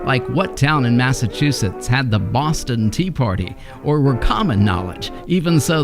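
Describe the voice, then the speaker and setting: Man narrating over prominent music. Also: medium pitch. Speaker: radio history presenter. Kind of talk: scripted narration.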